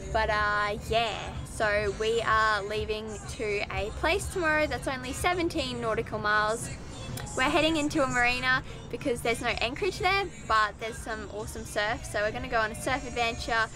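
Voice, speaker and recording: A teenage young woman with a high voice talks casually to camera as a vlogger. Her phrasing is informal and wanders a little. She is outdoors on a boat, with music behind her. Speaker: sailing vlogger. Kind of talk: casual vlog chat to camera. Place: outdoors on a sailboat deck at sea.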